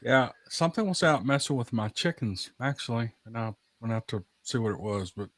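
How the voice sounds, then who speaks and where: A man's voice: medium pitch, animated, fairly quick, a bit bright, slight background noise. A man telling anecdotes in casual podcast chat, folksy and given to repeating his phrases for emphasis.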